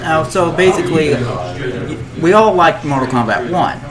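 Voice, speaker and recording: A man with a somewhat high voice guides a casual conversation, summing up points and moving the talk on. A crowd is clearly audible behind him. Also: expressive, moderate pace, low-quality recording. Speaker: podcast host. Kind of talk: casual chat.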